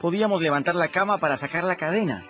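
A plain-spoken boy narrator in a radio drama. His voice sits a bit high and tells the story wryly. The recording is dull, with music underneath. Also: fast, pushed and bright.

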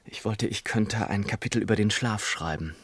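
An actor playing a wry, digressive gentleman who narrates his own life in a literary radio play, whimsical and mock-solemn. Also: lowish voice, moderate pace, breathy edge, dry recording. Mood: wry, measured.

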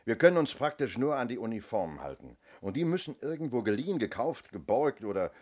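An actor playing a senior police detective in a 1970s German radio play, curt, sardonic and commanding. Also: male voice, mid-range voice, sing-song, fairly quick, darkish tone. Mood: brusque, dry. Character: brusque, dry senior police detective, impatient boss.

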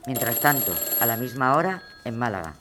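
An actor as the sober narrator of a historical audio drama, announcing scenes in a scripted style. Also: male voice, mid-range voice, animated, brisk, pushed and bright, a little hiss or hum.

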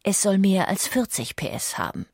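A woman narrates a scripted documentary in a measured storytelling manner. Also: mid-range voice, very animated, a little mellow, husky, no room sound, studio quality.

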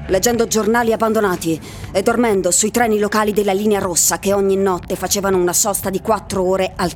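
A woman narrates a literary radio play. Her voice has a medium pitch, and faint music runs behind the scripted reading. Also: darkish tone.

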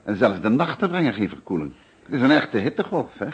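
A man's voice: medium pitch, sing-song, fast talker, husky, muffled recording. An actor playing a courteous, sociable male insurance representative in a Dutch radio play, speaking formally and chattily with little tag questions. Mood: affable, chatty.

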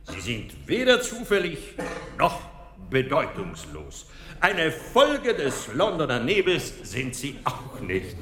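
Genial Viennese lecturer, radio drama, bright forceful voice, theatrical courtesy, dry wit, long hall reverb. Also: male voice, on the high side, huge pitch swings, slow pace, a little hiss or hum, exaggerated voice. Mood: wry, formal. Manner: courteous, theatrical, emphatic lecturing with repeated phrases. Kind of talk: German radio drama acting.

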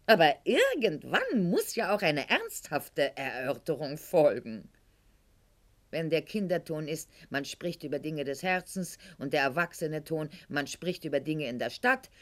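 An actress playing a proud, sharp-witted aristocratic lady in a radio play monologue, speaking with haughty irony and elaborate eloquence. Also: fairly low pitch, exaggerated voice. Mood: disdainful.

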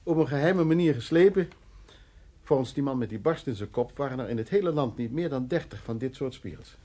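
A man playing Louis, who recounts his troubled past in a Dutch radio play, halting and acting out the remarks of others. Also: medium pitch, expressive, dark tone, natural voice. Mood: playful, secretive. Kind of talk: Dutch radio play, intimate dramatic monologue and dialogue.

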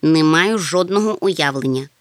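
A woman voicing a fussy, slow-witted male insect-collecting creature in a storybook, with a muttering, pedantic manner. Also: lowish voice, lively intonation, dry recording, natural voice. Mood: curious, brusque.

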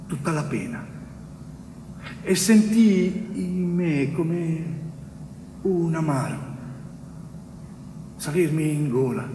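An actor playing a weary, innocent man telling his story in a slow, halting theatrical monologue. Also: on the high side. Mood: sorrowful. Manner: slow, halting, dramatic delivery with long pauses. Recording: distant, echoey theatre stage, faint noise.

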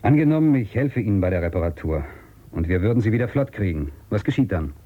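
An actor playing a brusque, suspicious outcast in a radio drama, with a commanding, curt way of questioning. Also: male voice, on the low side, very animated, fast, narrow, tinny audio. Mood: wary. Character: brusque, suspicious male outcast hiding in abandoned future city. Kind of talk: German radio drama acting.

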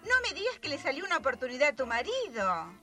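High, bright, chatty Argentine busybody. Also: female voice, huge pitch swings, fast, soft background music, full character voice. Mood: gushing, disappointed. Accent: Argentine, Rioplatense. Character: chatty, busybody neighbourhood dressmaker mother. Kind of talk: radio drama acting.

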